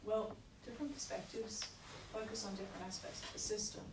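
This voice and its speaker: A croaky-voiced woman lecturer walks a class through course material, measured and explanatory. She is picked up from well back in a roomy, echoing hall. A steady hiss of noise sits under her voice. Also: medium pitch. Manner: measured, explanatory, frequent "okay" and "um" checks. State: croaky, recovering from lost voice. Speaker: university lecturer. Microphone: room recording from far off. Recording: echoey hall, distant mic, noisy.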